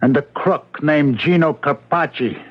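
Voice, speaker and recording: A man's voice: a little high, measured pace. An American actor playing a desperate man trapped in another man's body in a 1970s radio drama, speaking urgently and insistently. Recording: dull 1970s AM radio broadcast recording.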